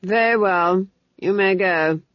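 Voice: mid-range voice, takes it slowly, dry recording, muffled recording, lightly acted. A narrator doing a cold, clipped duke. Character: cold, weary male duke.

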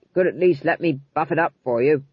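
A narrator voicing a loud, over-eager male army sergeant who is blunt and deferential, in a storybook reading. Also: medium pitch, fast, slightly rough, no echo, lo-fi sound, lightly acted. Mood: hurt, pleading.

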